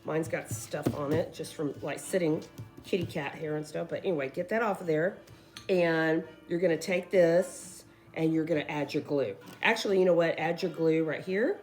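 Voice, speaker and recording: A woman who is losing her voice, hoarsely chatting her way through a casual craft tutorial in a rambling, informal way. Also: low voice, moderate pace, darkish tone, faint music behind. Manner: rambling, informal, addresses viewers as guys. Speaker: craft video host.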